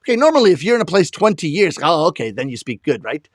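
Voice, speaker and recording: A man who is a comedic storyteller, talking to the camera in an animated way and chuckling at his own jokes. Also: fairly high pitch, quick pace, no echo, clean studio sound. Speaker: rakugo storyteller.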